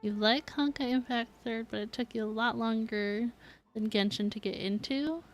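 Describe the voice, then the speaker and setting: A woman with a fairly high voice chats casually on a game livestream. She thinks aloud in an informal way as she addresses viewers. Soft music runs faintly behind her. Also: normal speed. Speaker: game streamer.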